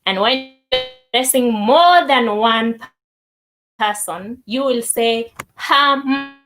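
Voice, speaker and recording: A woman giving a language lesson, deliberately repeating words for learners. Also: a little high, fairly bright tone, poor audio. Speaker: language teacher. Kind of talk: language lesson, demonstrating greetings.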